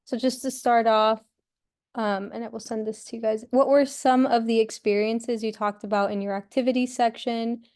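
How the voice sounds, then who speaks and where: A woman's voice: fairly high pitch, steady tone, normal speed, darkish tone. A woman moderating an online panel, upbeat and organized, introducing the session and asking questions over a video call.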